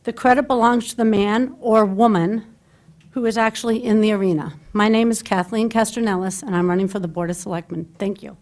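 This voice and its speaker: A woman's voice: mid-range voice, fairly even intonation, a little fast, darkish tone, slight background noise. A middle-aged woman giving a prepared, formal and earnest campaign statement at a local candidates forum, speaking into a table microphone. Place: public candidates forum in a meeting hall.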